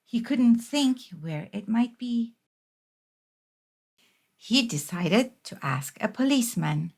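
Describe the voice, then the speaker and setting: A woman reading a children's picture book aloud as a storyteller, in a measured, expressive way. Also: on the high side, gravelly. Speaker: storybook reader.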